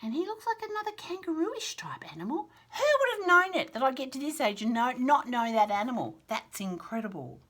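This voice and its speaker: A woman's voice: sits high, big pitch swings, fairly quick, hardly any pauses, a bit bright. A woman reading to toddlers as a children's storyteller, encouraging and sing-song, carefully sounding out words with chatty asides. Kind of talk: read-aloud picture book lesson for toddlers, chatty asides.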